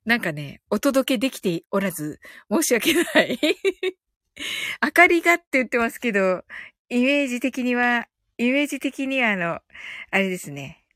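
A woman's voice: a little high, sing-song, normal speed, a bit bright, dry recording, studio quality. A woman hosting a casual live audio chat, reading out listener comments in a chatty, polite way and often giggling as she talks. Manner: giggly, laughs often while talking.

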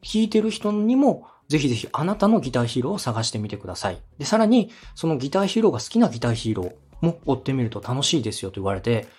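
A man hosting a podcast, politely and methodically giving encouraging production advice in a slightly husky voice. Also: medium pitch, big pitch swings, very fast, hardly any pauses, darkish tone. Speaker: podcast host and radio director giving feedback.

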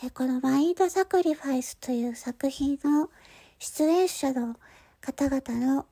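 A woman's voice: high-pitched, unhurried, warm tone, dry recording. A woman hosting her own audio channel, making a casual but polite announcement to listeners in hesitant phrases. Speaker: channel host and voice actor.

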